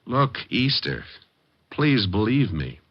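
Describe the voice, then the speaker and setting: An actor playing a tough, wisecracking private detective with a deadpan, sardonic, coolly menacing delivery, in old-time radio drama style. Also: male voice, medium pitch, slow, no echo, full character voice. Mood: calm menace. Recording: muffled, dry vintage radio recording.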